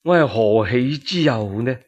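A man's voice: mid-range voice, expressive, a little slow. A man with a Cantonese accent playing a wary elder-brother prince, solemn and formal, in a historical radio tale. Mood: grave, suspicious.

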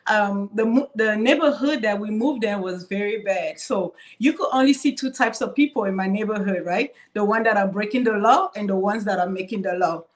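A young woman from Cameroon talks over a video call as a panelist. Her pitch sits mid-range for a woman, and she tells her story casually and hesitantly, with many fillers and restarts. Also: a little fast, few pauses. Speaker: panelist, cybersecurity professional.

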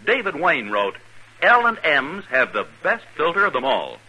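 Animated, husky 1950s male radio announcer. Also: on the high side, moderate pace, poor audio. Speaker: commercial announcer. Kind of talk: 1950s radio advertisement read.

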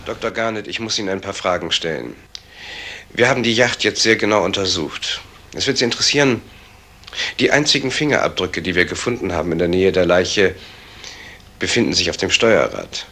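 An actor playing a formal, matter-of-fact young police sergeant in a 1970s radio crime drama. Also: male voice, deep voice, expressive, fairly quick, bright, forceful tone, full character voice. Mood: businesslike. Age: young adult. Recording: vintage radio recording, faint hiss.

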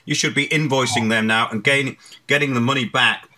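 A man's voice: medium pitch, steady tone, fairly quick, sharp tone. A man, hosting with unscripted, opinionated commentary in an emphatic, repetitive way. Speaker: show host.

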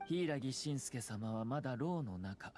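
Polite scheming young clan lord, anime game, smooth formal talk, mid pitch that moves around a lot. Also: male voice, expressive, takes it slowly. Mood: composed, serious. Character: poised young nobleman clan head, courteous, calculating. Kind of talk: Japanese anime game acting. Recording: game music underneath.

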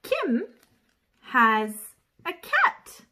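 Young woman doing a kids read-aloud, super high voice, really slow n playful. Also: huge pitch swings, pushed and bright. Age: young adult. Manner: exaggerated, slow, playful delivery with sound effects. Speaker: children's storyteller. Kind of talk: animated picture-book read-aloud for young children.

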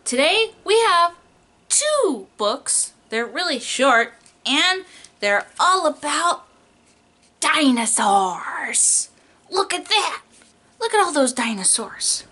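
A woman's voice: high-pitched, sing-song, takes it slowly, bright, forceful tone. A young adult woman, a preschool teacher doing a picture book read-aloud in an exaggerated, child-directed way, stretching key words.

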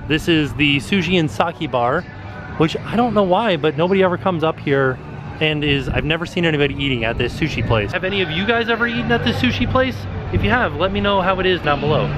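Guy vlogging a walk around shops outside, bit high voice, faint music behind. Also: brisk. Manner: chatty, pointing things out as he walks. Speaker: vlogger giving a walking tour. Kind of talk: walking tour narration. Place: outdoor shopping and dining area.

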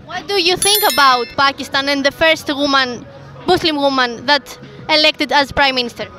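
A woman's voice: high voice, moderate pace, music in the background. A young woman hosting a street interview outdoors in a busy city square, asking passersby questions on a handheld mic. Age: young adult. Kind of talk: street vox-pop interview.

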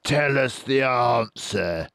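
A man doing a loud, taunting greedy farmer. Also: medium pitch, lively intonation, slow, vocal fry, no room sound, studio quality, pitched above the performer's own voice, heavily acted. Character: gruff greedy male farmer.

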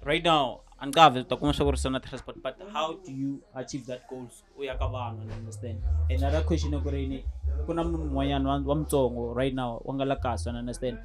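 A man's voice: mid-range voice, steady tone, quick pace, faint music behind. A South African man in his thirties hosting a casual barbershop chat, switching between English and Tsonga and often asking "you understand?". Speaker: podcast host. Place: barbershop studio, indoors.

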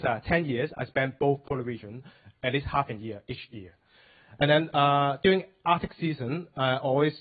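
A young man from Hong Kong with a Hong Kong English accent, giving a hesitant conference talk at a podium with many fillers. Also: mid-range voice. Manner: hesitant, many fillers, non-native phrasing. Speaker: polar expedition leader and glaciologist. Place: indoor wood-paneled hall, at a podium. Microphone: handheld mic. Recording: tinny phone-like sound.

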